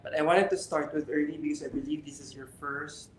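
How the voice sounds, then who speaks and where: Slightly high-pitched male podcast host. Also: little pitch movement, a little hiss or hum. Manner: friendly, quick back-channel replies. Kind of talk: hosting a panel interview. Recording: echoey room.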